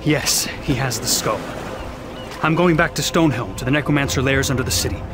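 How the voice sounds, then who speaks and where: An actor playing a determined, defiant young warrior hero in a video game, resolute and earnest. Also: male voice, medium pitch, brisk, background noise, natural voice. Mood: grim resolve. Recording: loud music behind the voice.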